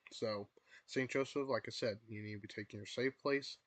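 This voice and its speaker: A man's voice: mid-range voice, normal speed, slightly rough, no room sound. Young man hosting a live weather stream. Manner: repetitive, filler-heavy, urges viewers to take shelter. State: losing his voice. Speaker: livestream weather host. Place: his room at home.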